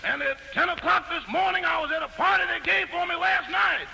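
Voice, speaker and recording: An easygoing valet on a 1940s radio comedy, whose very high voice is heavily gravelly and full of fry. He talks in a wry, unhurried way. The old broadcast carries clear hiss. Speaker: valet phoning his boss.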